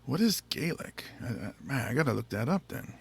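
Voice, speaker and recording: Man, host, casual interview chat, playful, halting with fillers, gravelly voice, bouncy pitch. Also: very animated. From United States. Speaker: podcast host.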